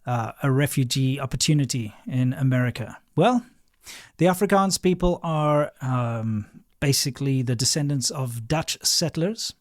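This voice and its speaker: A man's voice: a little high, a little mellow, dry recording. A young man with a South African accent gives a blunt, outspoken monologue in a slightly raised voice. The sound is close and dry, with no room in it. His tone stays frank and unhedged throughout. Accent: South African English. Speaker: video creator. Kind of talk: opinionated monologue to camera. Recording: played back within a reaction video.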